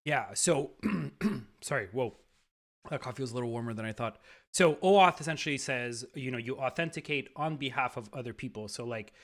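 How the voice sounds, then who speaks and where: A man's voice: mid-range voice, normal speed. A man hosting a live stream, talking casually in an upbeat way, with lots of fillers and repeated words.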